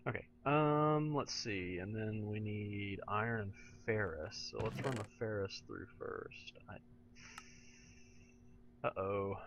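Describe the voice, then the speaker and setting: Casting note: male let's-player, raspy, a bit hoarse, low gravelly tone, dull lo-fi mic. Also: slow pace, background noise. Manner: chatty, talks to himself while playing, excitable. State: slightly hoarse throat. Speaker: let's play gamer. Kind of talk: casual gameplay commentary.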